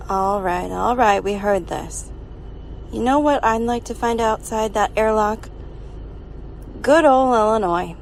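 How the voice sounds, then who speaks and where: A woman's voice: mid-range voice, unhurried, vocal fry, long pauses, slight background noise, low-quality recording. A woman playing a folksy, homesick spaceship crew member in a science fiction radio drama, speaking casually. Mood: nostalgic.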